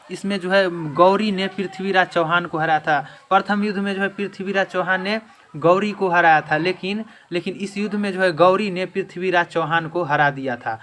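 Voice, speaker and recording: A man's voice: a little high, bright, forceful tone. A man giving a quiz lesson as an exam-prep teacher, restating key points with emphasis. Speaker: exam-prep teacher and video channel host. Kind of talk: quiz lesson, explaining question and answer. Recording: clear background noise.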